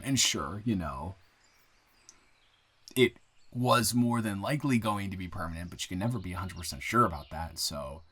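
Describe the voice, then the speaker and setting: Man playing a low-voiced, rambling, friendly young guy. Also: fairly bright tone. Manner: rambling, halting, self-correcting, conversational. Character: thankful, friendly young man, new in town, chatting with a close friend. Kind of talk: improvised first-person roleplay audio, casual chat. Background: bird and outdoor ambience sound effects.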